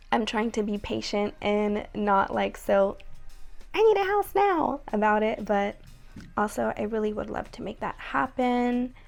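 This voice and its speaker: A young woman with a raspy voice talking casually to her viewers, chatty and full of fillers. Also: on the high side. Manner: chatty, many likes and ums. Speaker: vlogger. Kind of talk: casual vlog talk to camera.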